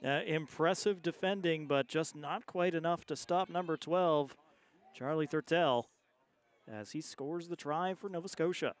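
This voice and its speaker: A man giving measured, conversational analysis as colour commentator on a live sports broadcast. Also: on the high side.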